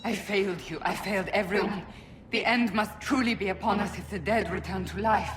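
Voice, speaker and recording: An actress plays a devout warrior woman broken by grief in a fantasy game. Her mid-pitched voice is solemn and intense. Clear ambient noise runs behind it. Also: fairly quick, in a large hall, lightly acted. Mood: anguished, awed. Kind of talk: dramatic fantasy game dialogue.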